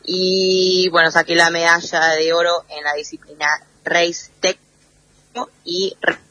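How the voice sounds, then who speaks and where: A woman from the United States talks by phone with a radio show. She speaks slowly and explains her sport in a friendly way. Her bright voice comes through a phone line that keeps breaking up. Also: lowish voice, level tone. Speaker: athlete guest. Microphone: phone call over messaging app.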